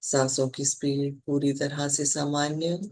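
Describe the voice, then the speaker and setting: An Indian meditation guide speaking calmly and soothingly in short, measured phrases with pauses between them. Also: mid-range voice.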